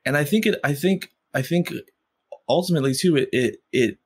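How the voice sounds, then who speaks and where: A man's voice: fairly high pitch, expressive, brisk, a little mellow, husky. A man who stutters, a podcast guest speaking reflectively in a casual interview chat. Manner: stutters, with repeated sounds and fillers; reflective, with many "like" and "you know".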